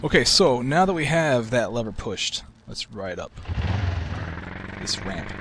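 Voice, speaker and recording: A man giving casual, relaxed commentary over a video game walkthrough, with a soft, soothing way of talking. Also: mid-range voice, a little slow, background noise. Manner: relaxed, soft-spoken, self-described soothing voice.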